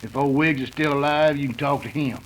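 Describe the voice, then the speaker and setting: An actor playing a menacing outlaw gang boss with a folksy cowboy drawl, in 1930s western radio style. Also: male voice, mid-range voice, fairly even intonation, brisk, a little hiss or hum, lightly acted, rough recording. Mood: threatening, smug.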